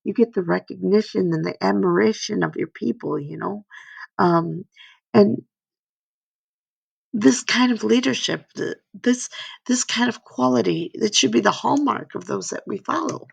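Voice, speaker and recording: Hoarse gravelly woman, earnest and rambling. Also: medium pitch, measured pace, dry recording. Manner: rambling, earnest, repeats "right?" and "you know?". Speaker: speaker giving a slide talk. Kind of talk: faith-based motivational talk.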